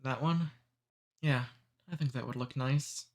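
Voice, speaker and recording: A man voicing a friendly, centuries-old male demon in an ASMR roleplay. He talks calmly and teases gently. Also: mid-range voice, unhurried, lightly acted. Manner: calm, friendly, gently teasing.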